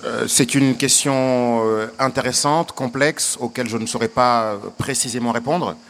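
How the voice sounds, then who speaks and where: A man from Senegal, an actor answering interview questions in an earnest, hesitant way with many pauses. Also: medium pitch, fairly even intonation, fairly bright tone.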